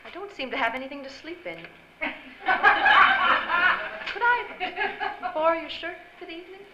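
An actress playing a seductive 1940s radio drama femme fatale who pleads coyly as if helpless. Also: female voice, extremely high pitch, expressive, unhurried. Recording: roomy live stage, faint noise.

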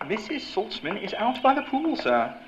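Casting: a proper, deferential mansion butler for radio drama, swooping intonation, lo-fi sound. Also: male voice, sits high, huge pitch swings, normal speed. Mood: formal. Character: stiff, formal male butler in mansion. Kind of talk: old-time radio drama acting. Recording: dull lo-fi live stage recording, clear noise.

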